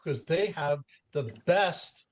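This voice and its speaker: A man's voice: fairly high pitch, unhurried. A man hosting an interview podcast, asking open questions and affirming his guest's points. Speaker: host, professional speaker. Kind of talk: conversational interview.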